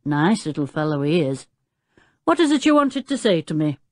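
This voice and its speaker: A woman's voice: sits low, very animated, normal speed, no echo, studio quality. A woman voicing a confident, folksy old male circus owner in a children's storybook. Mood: good-tempered. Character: fierce-looking but good-natured old circus-owner grandfather.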